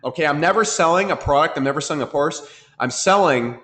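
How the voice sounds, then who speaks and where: Man, webinar trainer, very fast, repetitive, sniffly cold, computer mic. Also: a little high, animated, fairly bright tone. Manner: fast, repetitive, keeps asking "okay?". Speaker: marketing trainer. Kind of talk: live webinar teaching.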